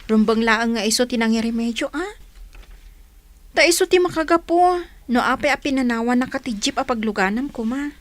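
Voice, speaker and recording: An actress with a Filipino accent playing a stern, protective elder sister in a village radio drama. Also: female voice, fairly high pitch, lively intonation, a little fast, no room sound, lightly acted. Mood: accusing. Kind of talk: Ilocano radio drama acting.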